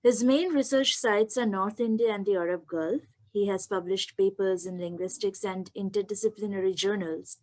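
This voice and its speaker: A woman hosts an online event and reads out a guest's credentials. She keeps a formal, measured delivery at a middling pitch. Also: a little mellow, rough recording. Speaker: webinar host. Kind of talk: reading a speaker introduction.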